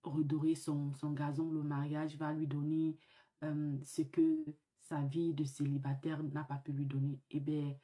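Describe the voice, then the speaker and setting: Low, mellow-voiced woman with the flu. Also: flat delivery. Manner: halting, many fillers and restarts; earnest and reflective. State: sick with the flu. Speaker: vlog host. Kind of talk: casual vlog monologue.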